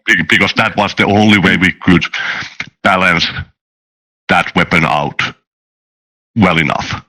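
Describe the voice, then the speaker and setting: A man talking casually as a game designer being interviewed, halting and often restarting his words. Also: deep voice, sharp tone, rough recording. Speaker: tabletop game designer, guest.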